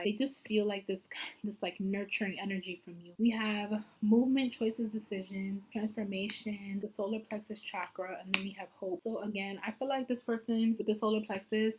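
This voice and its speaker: A woman's voice: medium pitch, a little mellow, poor audio. A woman giving a casual pick-a-card tarot reading, chatty and loose, leaning constantly on "like" and "I feel like". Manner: chatty, heavy use of "like" and "I feel like".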